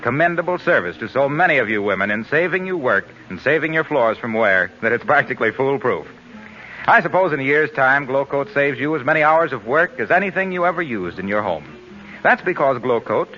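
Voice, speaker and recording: A man's voice: medium pitch, sharp tone. A man announcing and reading ads in a polished, salesmanlike 1940s radio style, on a muffled old recording. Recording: dull 1940s radio recording with faint music.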